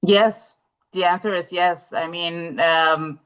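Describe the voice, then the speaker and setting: A woman with a lowish voice answers questions in a live online webinar, picking her way through ideas with frequent pauses and false starts. She speaks over a video call. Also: steady tone, fairly bright tone, clean tone. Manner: hesitant, many fillers and restarts. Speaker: webinar presenter.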